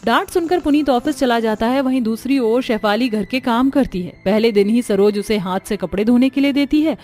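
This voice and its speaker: A woman narrates a scripted moral story as storyteller. Her voice is high, and music plays softly behind the narration. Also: a little fast.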